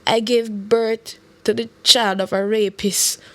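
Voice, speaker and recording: A woman's voice: fairly high pitch, level tone, takes it slowly, no echo. Woman voicing an anguished, desperate minister. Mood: anguished, afraid. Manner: sobbing, desperate pleading. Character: anguished woman government minister confessing a secret.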